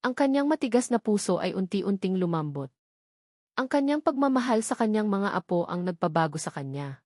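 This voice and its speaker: A woman's voice: medium pitch, big pitch swings, a little fast, darkish tone, no echo, studio quality. A woman reading a scripted dramatic story in an earnest, sentimental manner. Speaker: story narrator.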